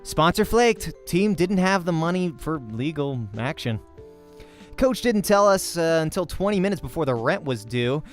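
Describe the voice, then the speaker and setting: Man playing a cocky, animated young pro gamer. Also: on the high side, normal speed, soft background music, close to an everyday voice. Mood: wry storytelling. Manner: animated, teasing, breezy delivery. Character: cocky, jokey young male pro StarCraft player.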